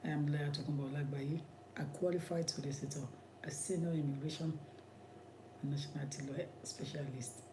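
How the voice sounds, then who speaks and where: A man's voice: a little high, steady tone, brisk, noisy background. A man with a Nigerian accent, an immigration lawyer giving impassioned commentary in an emphatic, repetitive, preacherly way.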